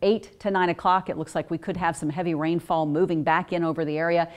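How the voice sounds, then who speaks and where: A woman's voice: on the low side, fairly even intonation, fast. An American woman presenting a local TV weather forecast in an ad-libbed, explanatory way.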